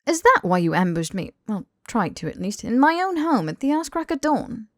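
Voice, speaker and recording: A woman's voice: on the high side, sing-song, normal speed, fairly bright tone, dry recording, studio quality, voice pushed up. A woman voicing a casual young man, wry and easily flustered, protesting informally in an audiobook. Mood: indignant. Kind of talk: audiobook character dialogue.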